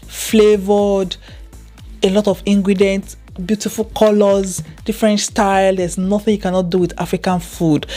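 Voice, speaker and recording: A Nigerian woman in her thirties talks to her viewers in a warm, chatty vlog, often repeating herself for emphasis. Her voice sits at a middling pitch. Soft music plays faintly underneath. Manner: effusive, repeats phrases for emphasis. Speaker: vlogger. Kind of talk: casual chat to camera.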